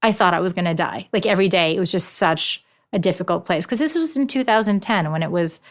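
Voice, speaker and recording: A woman's voice: on the low side, expressive, fast. A woman in her forties giving an interview as an author, telling stories animatedly with frequent restarts. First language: Japanese. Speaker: author and education consultant, guest. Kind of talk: conversational interview.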